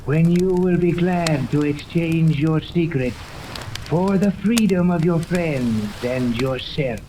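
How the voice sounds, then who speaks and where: An actor in a 1930s radio serial playing a boastful mad scientist villain, speaking slowly and formally with a gloating menace. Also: male voice, on the high side. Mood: menacing, boastful. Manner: slow, gloating, formal speech with sinister chuckles. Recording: noisy 1930s radio recording with rain-like hiss.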